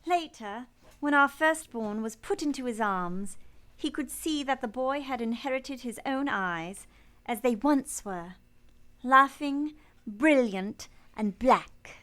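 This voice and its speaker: An actress playing a devoted, gentle, self-possessed young woman in a live period radio romance, speaking with tender, measured earnestness. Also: high-pitched, animated, heavily acted. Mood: serene, reflective.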